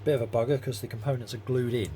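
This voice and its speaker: A man's voice: mid-range voice, fairly even intonation, racing, dark tone, slight background noise. A man giving casual, hands-on workshop commentary in a matter-of-fact, explanatory way. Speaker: host, audio repair hobbyist or technician.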